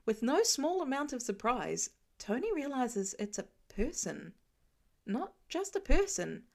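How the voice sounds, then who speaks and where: A woman narrating a fan fiction story aloud with expressive storytelling. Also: sits high, unhurried, creaky. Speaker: podfic reader.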